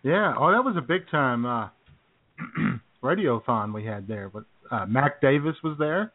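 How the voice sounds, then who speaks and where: A man's voice: mid-range voice, lively intonation, unhurried, no echo. A male co-host chatting casually in comic radio banter, laid-back and a little hesitant. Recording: narrow, phone-like radio sound.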